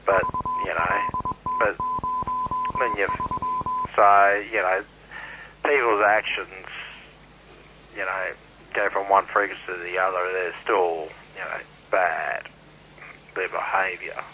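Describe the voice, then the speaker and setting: An Australian man with a low voice talks over a CB radio link. He rambles bluntly in casual, sweary chat, and the sound is dull and lo-fi with some hiss and noise. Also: fairly even intonation, slow pace, long pauses. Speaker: CB radio operator. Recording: muffled, noisy CB radio transmission.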